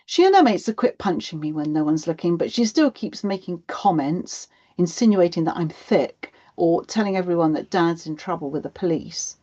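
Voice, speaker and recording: A woman's voice: on the low side, lively intonation, moderate pace, few pauses, dark tone, dull audio. A woman voicing an aggrieved thirteen-year-old schoolgirl in a children's audiobook, sulky and frustrated, with a complaining manner. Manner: complaining, indignant. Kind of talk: acted children's audiobook dialogue.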